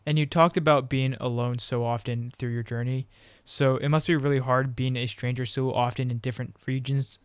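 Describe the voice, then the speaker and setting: A man's voice: mid-range voice, little pitch movement, fairly quick, smooth, dry recording. A man hosting a podcast, asking brief questions to prompt his guest. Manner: brief, prompting, many short acknowledgements. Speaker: podcast host, interviewer. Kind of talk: interview questions.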